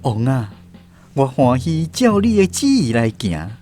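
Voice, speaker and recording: An actor with a Taiwanese accent playing a wretched, submissive wanderer and father in a radio drama, pleading in drawn-out phrases. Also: medium pitch, sing-song, warm tone, soft background music. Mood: humble pleading.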